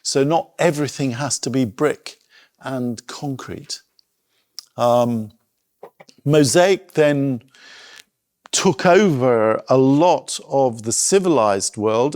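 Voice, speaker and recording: A middle-aged man speaks at an unhurried pace with a noticeable fry in his voice. He is giving an informal talk, reflective and a little halting as he searches for words. The sound is dry and close, with little room around it. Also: mid-range voice, a little mellow, no room sound. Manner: reflective, hesitant, thinking aloud with pauses. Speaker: art school director giving a talk. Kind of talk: informal illustrated public talk.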